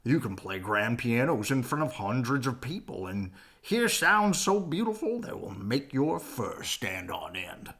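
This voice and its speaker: An eager, persuasive city visitor from a children's storybook, put on by a male reader. The delivery is enticing and emphatic. The pitch is lively and wide-ranging. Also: a little slow, few pauses.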